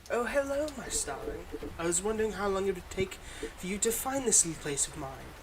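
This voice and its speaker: Supervillain, acted by a performer for audio roleplay: smug, mocking, playfully exasperated, mid-pitched, with clear background noise. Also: big pitch swings, brisk. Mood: smug, teasing. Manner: mocking, dramatic, addresses an unheard hero listener. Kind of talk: scripted ASMR audio roleplay.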